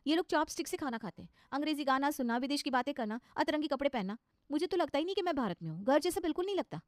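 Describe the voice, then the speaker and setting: A woman's voice: sits high, lively intonation, racing, dry recording, clean studio sound, voice pushed up. A woman voicing an earnest, dutiful young daughter-in-law in a cartoon story, with an outspoken manner. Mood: troubled, earnest.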